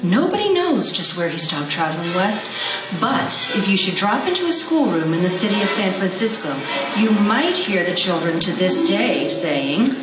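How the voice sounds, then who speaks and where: A woman telling a story in measured scripted narration during a live radio show. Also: medium pitch, big pitch swings, distant mic. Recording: echoey hall, mic from afar, music.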